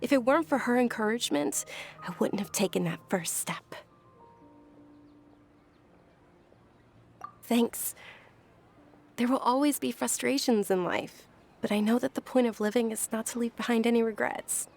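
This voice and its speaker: A woman playing a gentle, frail young noblewoman in a video game, speaking softly and politely with earnest, measured delivery. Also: on the high side. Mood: grateful.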